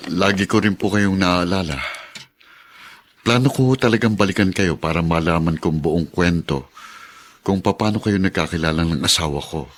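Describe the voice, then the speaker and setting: A man's voice: deep voice, moderate pace. A man with a Filipino accent acting in a radio drama as a hardworking, steadfast middle-aged pedicab driver and husband. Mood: warm, respectful.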